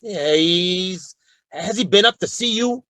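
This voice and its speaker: An American actor playing a gruff, stubborn frontier lawman in a western radio drama, terse and brooding. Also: sits high, animated, slow pace, sharp tone, dry recording, full character voice. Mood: troubled, reluctant.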